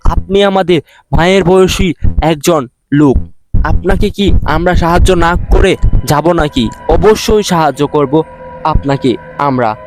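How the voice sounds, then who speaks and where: A man's voice: fairly high pitch, measured pace, natural voice, poor audio. A man voicing a helpful, curious young village man, polite and questioning. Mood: eager, polite. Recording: music behind the voice.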